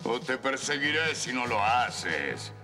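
An actor playing a ruthless, vengeful Roman soldier in a cartoon, with a gravelly, menacing delivery. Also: male voice, medium pitch, sing-song, takes it slowly. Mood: cold threatening. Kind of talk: cartoon villain dubbing. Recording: music behind the voice.

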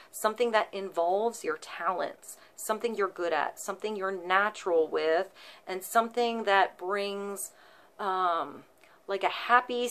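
Woman giving a gentle tarot reading. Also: mid-range voice. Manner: flowing, reassuring, with frequent ums and likes. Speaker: tarot reader. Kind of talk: intuitive tarot reading to camera. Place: small indoor room, seated before a painted wooden backdrop.

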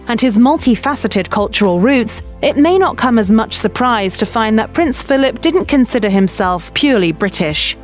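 Woman narrating a celebrity documentary. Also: fairly high pitch. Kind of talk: scripted narration. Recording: voice-over with soft background music.

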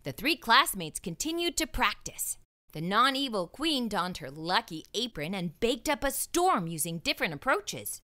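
Bright, animated woman reading a storybook. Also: medium pitch, moderate pace. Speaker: storybook read-aloud narrator. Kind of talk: expressive children's story reading.

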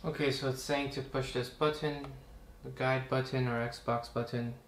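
A young man hosting a casual, relaxed tutorial, chatting as he works through steps in a living room. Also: medium pitch, moderate pace. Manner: relaxed, chatty, talks while working. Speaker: tutorial host. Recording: roomy home recording with faint hum.

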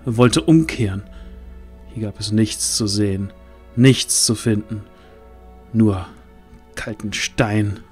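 A man's voice: fairly low pitch, takes it slowly, long pauses, darkish tone, soft background music. A man narrating a scripted fantasy audio drama in a dramatic storytelling style.

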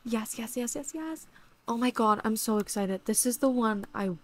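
A young woman with a voice going hoarse, chatting casually and excitably while she plays a horse game at home. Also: on the high side, moderate pace, a little hiss or hum. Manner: chatty, excitable, lots of "like" and "literally". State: losing her voice. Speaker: gaming YouTuber. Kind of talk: casual gameplay commentary.

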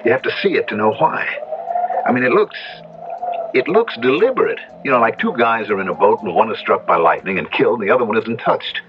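Actor as a shaken young husband, very expressive. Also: male voice, fairly low pitch, dull audio, noisy background, natural voice. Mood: shaken, animated. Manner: conversational, animated storytelling with dramatic pauses. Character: weary young husband, shaken but skeptical rancher. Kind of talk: 1970s radio drama acting. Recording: band-limited old radio broadcast, music underneath.

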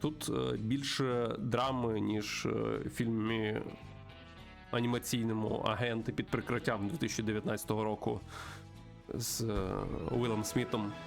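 A man's voice: lowish voice, level tone, moderate pace, vocal fry. A man giving playthrough commentary in a tongue-in-cheek, mock-earnest way. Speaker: video game streamer. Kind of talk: playthrough commentary with dramatic reading. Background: music behind the voice.

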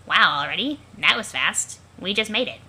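A woman's voice: medium pitch, expressive, normal speed, pushed and bright, breathy edge, exaggerated voice, poor audio. A woman playing a cocky, sweary teenage alien gamer with a casual, sardonic manner, in an amateur webcomic reading.

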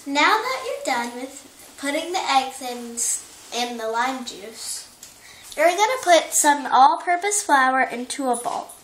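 A woman's voice: high voice, big pitch swings, a little slow, a bit bright, a little hiss or hum. Little girl doing her own cooking show at home, chatty, clanking dishes, roomy kitchen sound. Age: child. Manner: instructing viewers directly, chatty. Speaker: young cooking show host. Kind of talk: playful home cooking demo. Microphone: camera across the kitchen. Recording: echoey kitchen, distant from camera.

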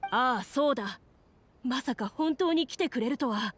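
A woman's voice: high-pitched, expressive, moderate pace, slight background noise. Woman voicing an anxious, earnest young townsman. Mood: startled, relieved. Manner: worried, sighing, earnest.

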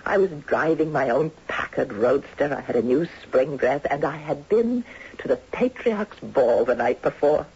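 An actress playing a genteel, hesitant elderly widowed grandmother in a 1970s radio drama, wistful and breathy. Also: deep voice, expressive, moderate pace, darkish tone, exaggerated voice. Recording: dull 1970s radio broadcast recording.

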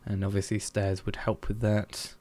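A man's voice: on the low side, completely flat delivery, moderate pace. A man streaming a building game, thinking aloud in a hesitant, musing way as he plans. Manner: musing, hesitant, planning out loud.

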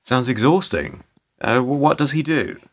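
A man's voice: medium pitch, lively intonation, moderate pace. A British man playing a weary middle-aged narrator in a radio play, dry, hesitant and sardonic. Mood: wry. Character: wry, weary older male narrator, reluctant bystander.

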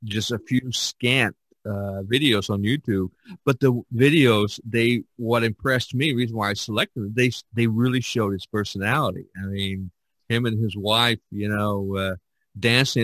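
A man hosting a podcast, talking casually in a rambling, halting way. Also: mid-range voice, a bit bright, no echo, rough recording. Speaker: podcast host. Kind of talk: casual conversation.

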